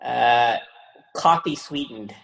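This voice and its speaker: A man in his sixties from the Philippines, a guest on a podcast, relaxed and joking in his answers. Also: medium pitch, slow, creaky, echoey room, poor audio. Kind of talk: casual interview.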